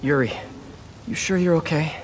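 An actor playing an earnest, quippy young superhero in a video game, talking in determined dialogue and self-narration. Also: male voice, fairly high pitch, moderate pace, natural voice, poor audio. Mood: determined, concerned. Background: music.

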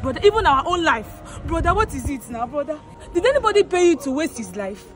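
A woman's voice: sits high, big pitch swings, a little fast. A young woman with a Nigerian accent playing an anxious, scolding sister, urgent and exclamatory. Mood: shocked, scolding. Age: young adult. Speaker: actress in an online skit. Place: outdoors in an open car yard. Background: music, vehicles.

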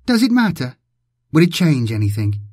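A man's voice: on the high side, big pitch swings, normal speed, a little mellow, dry recording, clean studio sound, voice pushed up. A man voicing an eerie, sad female mutant who speaks in cryptic prophecy, as an audiobook character. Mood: sad, foreboding. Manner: cryptic, portentous.

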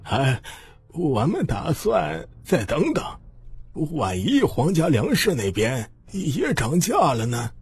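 A man's voice: huge pitch swings, slow, creaky, breathy edge, exaggerated voice. A man voicing a wary, stubborn old male villager who mutters in a muffled way in an audiobook. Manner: muffled, low-key muttering.

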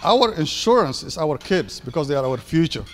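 A man's voice: fairly high pitch, big pitch swings. Somali-accented man speaking in a hall. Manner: halting, many fillers, switches into Somali. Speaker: board member giving a speech. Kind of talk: ceremony speech. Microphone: handheld microphone. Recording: echoey hall reverb.

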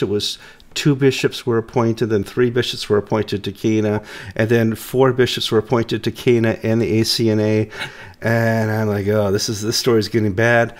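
A middle-aged man hosting a casual news chat over a video call, joking and quick with asides, his voice deep from a cold he is getting over. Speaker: host. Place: home room, video call.

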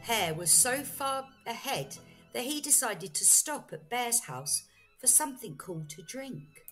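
A woman storyteller reading a children's bedtime story aloud in an expressive, deliberate way. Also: a little high. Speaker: storyteller, teacher. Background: faint music.

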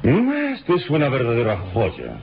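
An actor playing a calm, courtly, heroic adventurer in an old radio drama, measured and gallant. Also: male voice, medium pitch, noisy background. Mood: admiring, calm. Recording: dull old radio recording, loud music.

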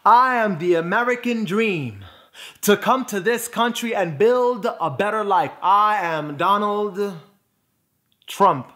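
A man performing a dramatic poetic monologue, phrasing deliberately and emphatically with pauses for effect. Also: high-pitched, pushed and bright. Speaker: spoken word performer.

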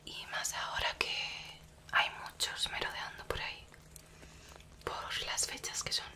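A woman whispering as a gentle werewolf woman. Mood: soft whisper. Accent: Spanish from Spain. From Spain. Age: young adult. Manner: soft reassuring whisper, slow and coaxing. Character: gentle protective werewolf woman caring for a rescued stranger. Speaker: ASMR roleplay creator. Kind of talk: whispered ASMR roleplay. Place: small indoor room dressed as a cabin.

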